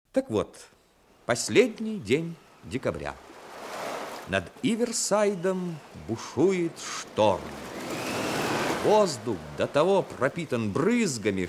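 A man narrating a 1960s radio drama as a measured literary storyteller. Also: mid-range voice, background noise.

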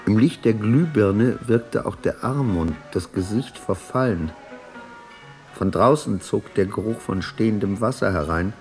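An actor playing a somber man who recounts an intimate memory in terse, measured fragments in a literary radio play. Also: on the low side. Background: soft plucked guitar music.

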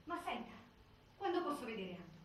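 A woman's voice: a little high, very animated, fairly quick. An actress playing an anxious detained woman in a stage drama, urgent and pleading. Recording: noisy, echoey theatre, distant mic.

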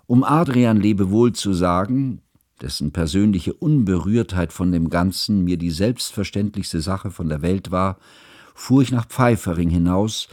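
An actor in a radio drama playing a learned chronicler, narrating in a measured, formal, literary manner. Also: male voice, sits low, a little mellow, dry recording, clean studio sound, lightly acted. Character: learned, formal male chronicler telling of his friend. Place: dry studio.

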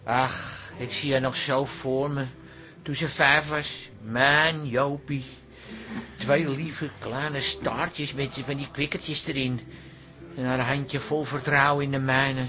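A man's voice: mid-range voice, fairly even intonation, slow, pushed and bright. A man acting in a radio drama as a doting middle-aged father, coaxing, nagging and sentimental. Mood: wistful. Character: fussing, doting father of a grown-up daughter.

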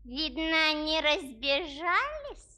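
A woman's voice: high voice, big pitch swings, takes it slowly, sharp tone, heavily acted. An actress playing a haughty, coaxing lady-in-waiting in a children's audio play, with an affected, cooing manner. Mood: affected politeness. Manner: affected, cooing, sweetly wheedling.

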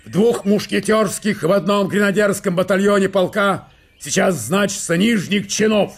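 An actor playing a huge, insolent guards captain in a radio drama, reporting with precise military formality. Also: male voice, high-pitched, moderate pace, slight background noise, voice pushed up. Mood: brisk, formal.

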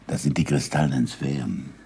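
An actor playing a gruff, impatient older scholar who teaches with deliberate, probing questions in a 1960s German radio drama. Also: male voice, rumbling low, fairly even intonation, warm tone. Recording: noisy old radio drama recording.

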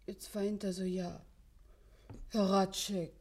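An actress playing a practical, caring village housemaid in a German radio play, deferential and coaxing in manner. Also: female voice, medium pitch, little pitch movement, slow pace, a little mellow. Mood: consoling.

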